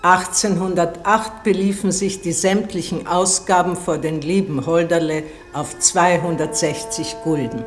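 An actress playing a dutiful, thrifty mother of olden times, reciting letters and accounts in a measured, matter-of-fact way in a radio play. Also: on the low side, steady tone, faint music behind, lightly acted.

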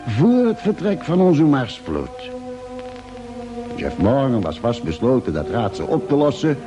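Mellow, animated space doctor. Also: male voice, medium pitch, dull audio. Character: ship's doctor on a Mars mission, grave and factual. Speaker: narrator and character in a radio serial. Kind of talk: dramatic radio play narration.

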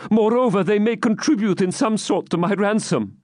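A man's voice: high-pitched, normal speed, darkish tone, dry recording, clean studio sound, voice pushed up, close to an everyday voice. A man voicing a fearful old moneylender who pleads timidly for his freedom. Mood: pleading.

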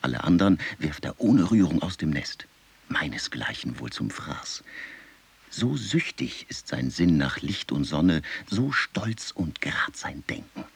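A brooding burrow-dwelling beast, with a deep, very raspy voice that swings widely in pitch. It speaks in eloquent, deliberate phrases, like a calculating predator musing in a radio play. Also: male voice, big pitch swings, heavily acted. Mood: sly, dark. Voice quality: husky, raspy. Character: earth-dwelling predatory animal, calculating, admiring the noble eagle. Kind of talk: literary radio drama monologue.

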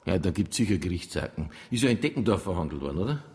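An actor playing a stubborn police detective in a radio drama, with a Bavarian accent and a dry, persistent way of questioning. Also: male voice, sits low, sing-song, fast talker, a little mellow, husky, heavily acted. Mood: dryly probing.

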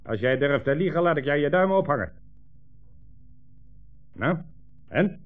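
An actor playing a menacing, sarcastic communist commissar in a 1960s radio drama, speaking imperiously and with contempt. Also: male voice, fairly high pitch, expressive, a little slow. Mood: threatening. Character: menacing communist commissar, sneering, bullying, coldly sarcastic interrogator. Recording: tinny, band-limited old radio recording, faint music.

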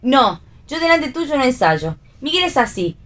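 A woman's voice: sits high, very animated, measured pace, a bit bright, exaggerated voice. A woman with an Argentine accent playing a theatrical, ironic actress who needles her friend in a read radio play. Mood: resentful. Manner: ironic, playful with words.

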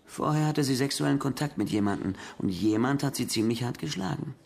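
Radio crime drama: male actor as a gravelly, confident detective, dry and needling, mid-pitched, steadily pressing his questions. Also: brisk, a little mellow, lightly acted. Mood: methodical, pressing. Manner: methodical, pointed questioning. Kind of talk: German radio play acting, police interrogation.

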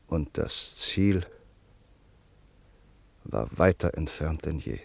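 A man narrating a radio play in a solemn, measured, reflective way. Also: low-pitched, vocal fry, darkish tone, breathy edge, no echo.

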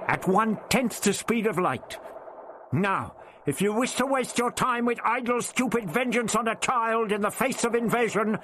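A man's voice: high-pitched, moderate pace, a bit bright, slight background noise, pitched above the performer's own voice. A man voicing an irascible, proud elderly man who speaks sharply and declaims. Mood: indignant.

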